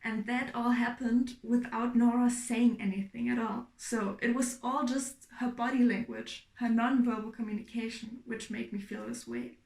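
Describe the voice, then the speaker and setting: A young woman from Germany giving a clear, explanatory class presentation as a student. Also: on the high side, a little mellow, roomy sound. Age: young adult. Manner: clear, explanatory, measured with fillers.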